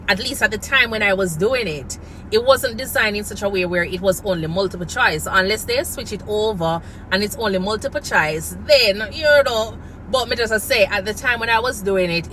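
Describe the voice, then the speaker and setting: Jamaican woman with a bright, earnest voice. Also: medium pitch, a little hiss or hum, low-quality recording. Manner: earnest, preachy, slips into patois phrases. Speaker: nurse and nursing coach. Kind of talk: casual vlog talk to camera.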